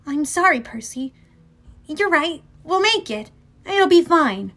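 A woman's voice: high voice, big pitch swings, slow pace, fairly bright tone, voice pushed up, full character voice. A woman voicing a plucky, earnest teenage girl adventurer with a pointed way of talking, as in an audiobook. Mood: reassuring.

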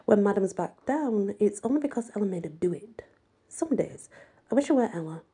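Husky, breathy woman reading a story. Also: mid-range voice, expressive, a little fast, warm tone. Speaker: amateur audiobook reader. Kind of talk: expressive first-person story narration.

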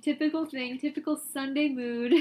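A young woman co-hosting a casual chat podcast, animated and emphatic, laughing often. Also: sits high, moderate pace. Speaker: podcast co-host.

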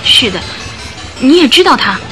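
A guilt-ridden lady in a radio play, clear bright voice, measured confession, faint music behind. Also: sits high, sing-song, full character voice. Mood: confessing, uneasy. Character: remorseful, well-spoken former beauty, circus owner's wife. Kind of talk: Chinese radio drama acting.

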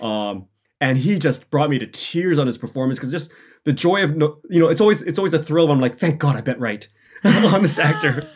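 A man's voice: fairly high pitch, animated, husky. A man chatting casually as an interview guest, enthusiastic and often breaking off to restart his thought. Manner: halting, self-interrupting, enthusiastic. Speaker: interview guest, audio fiction writer and director.

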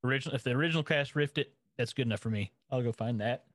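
A man co-hosting a podcast, chatting about movies with wry jokes and animated reactions. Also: medium pitch, rapid-fire, dry recording, clean studio sound. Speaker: podcast co-host. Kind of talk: casual chat about movies.

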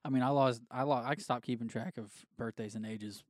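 A man's voice: mid-range voice, fairly even intonation, a little fast, no room sound, studio quality. A man in his late twenties co-hosting a casual podcast over drinks, joking crudely and laughing through his lines. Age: 20s. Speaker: podcast co-host.

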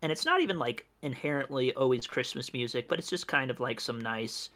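A man's voice: mid-range voice, animated, brisk, bright, forceful tone. A young man co-hosting a casual podcast, rambling with lots of ums and restarts. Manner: rambling with many fillers and restarts. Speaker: podcast co-host. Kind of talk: casual chat.

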